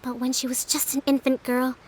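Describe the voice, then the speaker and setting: High-voiced, composed young priestess leader. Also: female voice, little pitch movement, darkish tone, slightly rough. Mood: grave, recounting. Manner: measured, formal, explanatory. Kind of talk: dramatic video game acting.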